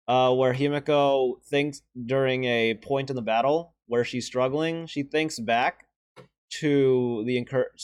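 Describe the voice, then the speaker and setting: A young man with a deeper voice giving casual, earnest and emotional commentary as he ranks favorite animated shorts, with sighs and restarts. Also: no echo, clean studio sound. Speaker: video creator ranking animated shorts.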